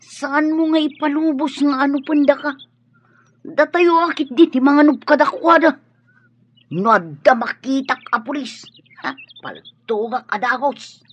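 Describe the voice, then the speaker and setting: A man's voice: very high-pitched, a little slow, fairly bright tone. A man playing a bold, gun-toting outlaw in a radio drama. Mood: defiant. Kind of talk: Ilocano radio drama acting. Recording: dull radio recording, faint outdoor noise.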